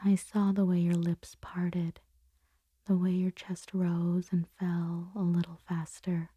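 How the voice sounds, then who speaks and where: A woman playing a conflicted boss confessing forbidden desire in an intimate, breathy, whispered ASMR roleplay monologue. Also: lowish voice, monotone, warm tone, dry recording. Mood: longing, sensual. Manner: slow, intimate, halting delivery.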